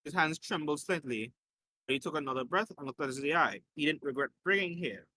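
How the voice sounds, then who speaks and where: A man's voice: fairly high pitch, lively intonation, brisk, bright, forceful tone, rough recording. A man reading a story aloud in an informal way, as a host who slips in his own asides and corrections.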